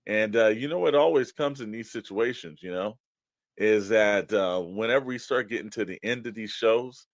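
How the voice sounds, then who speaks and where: A man co-hosting a podcast, chatting casually and pitching straight to the listeners in a conversational way. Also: moderate pace, no echo. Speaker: podcast co-host. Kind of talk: casual promotional podcast chat.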